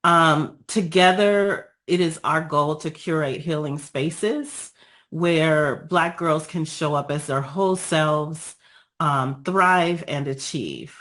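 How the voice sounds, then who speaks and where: A woman with a raspy voice after being ill, giving an earnest, measured presentation over a video call with frequent pauses and ums. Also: low voice, a bit bright. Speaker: guest speaker, nonprofit founder.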